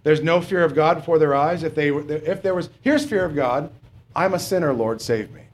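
A middle-aged man preaches in a plain, unpolished way, with a slightly high voice. He stops often on fillers and throws rhetorical questions at his listeners. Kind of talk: sermon.

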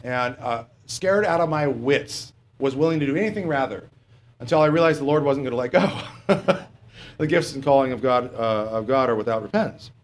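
A middle-aged man preaching a sermon in a plain, halting way, asking rhetorical questions and pausing on fillers. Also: mid-range voice.

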